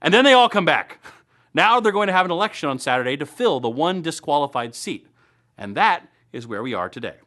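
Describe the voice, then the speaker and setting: A man's voice: on the high side, big pitch swings, brisk, bright, forceful tone. A man hosting a local web series, reading upbeat scripted news and event segments in a playful, tongue-in-cheek way.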